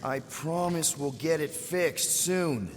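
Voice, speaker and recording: An actor playing an earnest, scrappy space crew leader with a casual, placating way of talking in a video game. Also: male voice, on the high side, takes it slowly, long reverb tail, a little hiss or hum. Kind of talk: naturalistic game acting.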